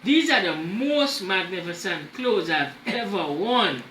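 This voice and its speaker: A man voicing a vain, proud emperor in a storybook, speaking in a pompous, deliberate way. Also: sits high, lively intonation, sharp tone, recorded from a distance, heavily acted. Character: vain, proud male emperor, grandly self-admiring. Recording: roomy echo, faint background noise.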